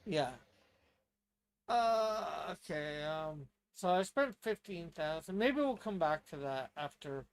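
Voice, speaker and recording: A man with a somewhat high voice chats as a player in a tabletop game. He thinks aloud casually, sounding hesitant and tentative as he works through choices. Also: expressive, takes it slowly, clean tone.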